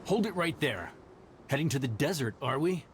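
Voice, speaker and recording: A man's voice: fairly high pitch, sing-song, moderate pace, background noise. An actor voicing a smug, menacing male stranger in a video game dub, delivering veiled threats.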